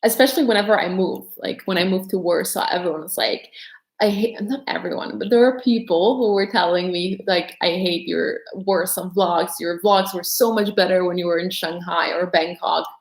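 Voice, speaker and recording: A woman's voice: on the low side, moderate pace, echoey room. Chatty young woman, mixed American-tinged accent. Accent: mixed English accent, mostly American-influenced. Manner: chatty, rambling, many "like" fillers. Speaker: vlogger answering viewer questions. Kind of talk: casual livestream Q&A.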